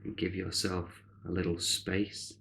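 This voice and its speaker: A man leads a guided meditation in a low, gravelly voice. He speaks in short, solemn phrases with long, deliberate pauses, keeping a soothing and measured delivery. There is some background noise under the voice. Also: level tone, a bit bright. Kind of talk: guided meditation, spiritual narration.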